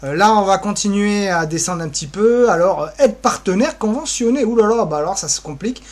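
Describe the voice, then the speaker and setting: A man's voice: high-pitched, expressive, brisk. Rambling, playful man presenting. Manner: rambling, hesitant, playful asides. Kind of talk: informal screen-scrolling website walkthrough.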